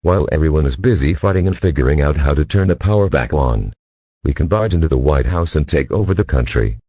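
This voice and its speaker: A man playing a scheming, grandiose gang boss and escaped convict in an amateur audio drama, with a gravelly, commanding voice. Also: rumbling low, expressive, quick pace, mellow, no echo, exaggerated voice.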